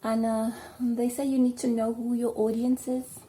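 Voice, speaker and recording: A South African woman chatting casually at home while doing her hair, rambling and filling pauses with ums. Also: on the high side, little pitch movement, mellow, slight background noise, poor audio. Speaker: vlogger. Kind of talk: casual hair tutorial chat.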